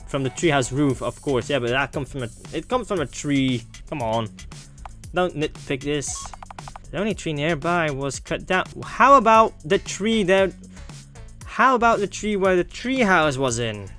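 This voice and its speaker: A man with a somewhat high voice gives casual game commentary. He is playful and opinionated, thinking aloud over game music. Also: sing-song, measured pace, sharp tone. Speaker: gaming let's player.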